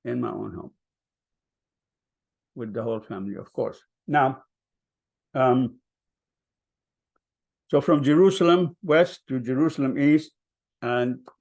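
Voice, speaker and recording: Slow gravelly older man. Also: mid-range voice. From Palestine. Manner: hesitant, many ums, soft-spoken. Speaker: vigil speaker. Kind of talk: personal storytelling.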